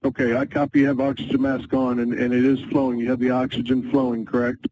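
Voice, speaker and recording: A man speaks as an air traffic controller over aviation radio, calm and methodical. His delivery is fairly even, with little swing in pitch. The transmission is muffled and carries faint noise. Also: fast. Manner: calm, procedural, repeats instructions clearly.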